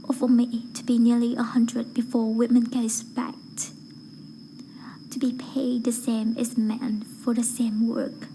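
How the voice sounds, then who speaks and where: Higher-pitched woman reciting a speech evenly. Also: level tone, unhurried, dark tone, slight background noise, low-quality recording. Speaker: voice acting learner reading a speech. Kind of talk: rehearsed public speech recitation.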